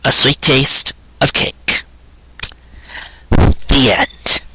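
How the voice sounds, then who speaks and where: A man giving an expressive, earnest reading in the manner of a storyteller, with dramatic phrasing. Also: mid-range voice, very slow, noisy background. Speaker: fan fiction reader and show host.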